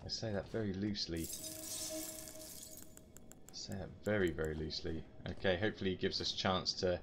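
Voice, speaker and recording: A man's voice: low voice, steady tone, brisk. A British man giving casual gameplay commentary, talking to himself with mild exclamations. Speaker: gaming channel host. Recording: loud game music behind voice. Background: loud video game music.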